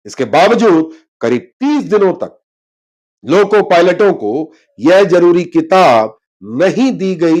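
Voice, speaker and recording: Male news narrator, high voice, widely swooping intonation, emphatic, investigative report delivery. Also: darkish tone, poor audio. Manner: dramatic, emphatic, with rhetorical questions. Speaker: news presenter.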